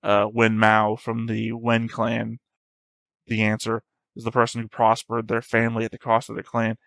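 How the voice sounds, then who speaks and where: A man's voice: fairly low pitch, completely flat delivery, normal speed, gravelly, a bit bright, no room sound. Man giving casual commentary, close and dry. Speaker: host of an anime reaction channel.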